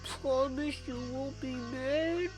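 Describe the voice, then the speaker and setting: A cheerful, dim sporty teenage boy, played by a woman in a story reading. The voice is pitched well up, bouncy and sheepish, blurting out lines with music underneath. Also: slow pace. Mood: sheepish, excited.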